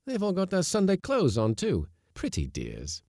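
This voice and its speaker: A man's voice: high voice, wildly swooping pitch, moderate pace, vocal fry, a little mellow, no echo, studio quality, pitched above the performer's own voice, heavily acted. A man voicing a gloomy, prim ship's mate who talks with laboured sarcasm in a storybook sea tale. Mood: scornful.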